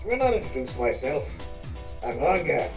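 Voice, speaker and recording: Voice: mid-range voice, big pitch swings, moderate pace, echoey room, recorded from a distance, soft background music, close to an everyday voice. A performer voicing a haughty, ever-starving spirit of pure hunger in a grandiose, boastful way for a fantasy story. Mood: smug.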